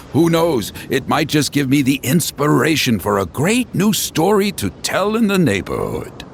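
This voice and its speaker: A man's voice: fairly high pitch, very animated, unhurried, vocal fry, slight background noise, heavily acted. A man voicing a genial, courteous street storyteller in a video game, speaking eloquently and with theatrical polish. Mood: pleased, intrigued.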